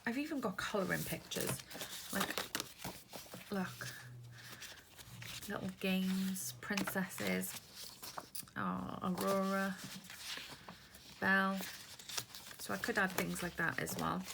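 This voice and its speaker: A woman's voice: mid-range voice, steady tone, takes it slowly, creaky, long pauses. A Welsh woman chatting casually through a crafting tutorial, rambling as she sorts through papers at her desk. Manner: rambling, chatty, talks while handling papers. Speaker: craft vlogger. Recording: paper crinkling noise close by. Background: paper rustling and crinkling.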